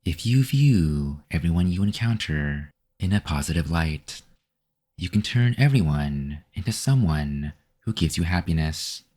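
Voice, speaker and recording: A man with a very low voice leads a guided meditation. He speaks very slowly and calmly, reading in a measured, instructive way. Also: husky. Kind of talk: scripted guided meditation narration.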